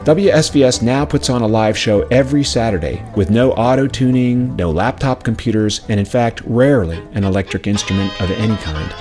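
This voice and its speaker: A man reading a scripted personal memoir in a measured, reflective storytelling way. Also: fairly low pitch, a little mellow. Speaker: narrator of own memoir, former radio station worker. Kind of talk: scripted narration. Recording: music playing behind the voice. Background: plucked acoustic string music.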